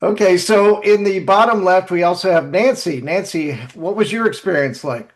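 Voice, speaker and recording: A man with a notably high-pitched voice hosts a podcast panel. He moderates in a casual, conversational style. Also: normal speed. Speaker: podcast host.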